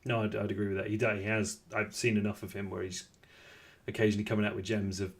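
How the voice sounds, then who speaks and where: A man's voice: lowish voice, flat delivery, quick pace. A man hosting a casual wrestling podcast, talking in a jokey, rambling way with hesitant asides. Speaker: podcast host.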